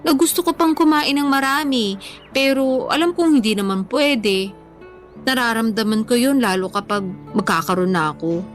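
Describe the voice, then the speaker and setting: Casting: female actor for earnest young woman in radio drama, fairly high voice, candid and emotive, light music underneath. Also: normal speed, natural voice. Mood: regretful confiding. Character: earnest young woman on a weight-loss journey, hopeful. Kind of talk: Tagalog radio drama dialogue, conversational acting.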